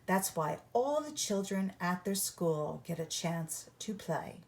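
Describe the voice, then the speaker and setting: A woman reading a children's picture book aloud as a storyteller, with deliberate, instructive read-along phrasing for young listeners. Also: lowish voice, big pitch swings, gravelly, a little mellow.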